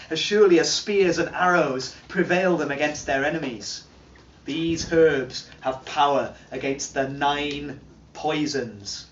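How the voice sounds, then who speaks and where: A man giving a slow, chanting dramatic recitation of an old charm, swinging from roaring calls to hushed murmurs, recorded from a distance at a live performance. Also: on the high side, a little hiss or hum. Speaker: storyteller. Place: heritage site, live performance room. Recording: dull, roomy live recording from far off. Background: drumming.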